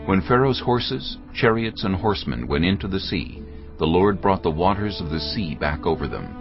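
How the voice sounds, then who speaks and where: A man narrating scripture in a measured, steady storytelling voice. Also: low-pitched. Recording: muffled, with music underneath.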